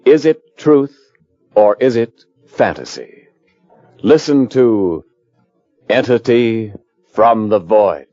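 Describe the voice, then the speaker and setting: An actor playing a solemn, mysterious announcer presenting an old-time radio tale. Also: male voice, fairly low pitch, lively intonation, slow pace, pauses often, warm tone, no echo, faint music behind. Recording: dull, dry old radio recording.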